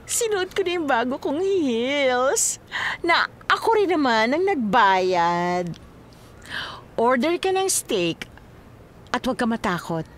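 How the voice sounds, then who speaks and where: Woman acting high-pitched, teasing, flirty mistress. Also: sing-song, slight background noise, heavily acted. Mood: playful, coaxing. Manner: coy, drawn-out, giggly teasing. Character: flirtatious, teasing, bossy mistress toying with a policeman. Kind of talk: Filipino radio-style drama acting.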